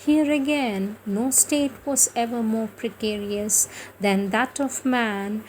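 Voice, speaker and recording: A woman reciting spiritual prose devotionally, in a solemn, declamatory manner with measured phrasing and pauses. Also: a little high, slight background noise, poor audio. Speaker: reader of spiritual writings.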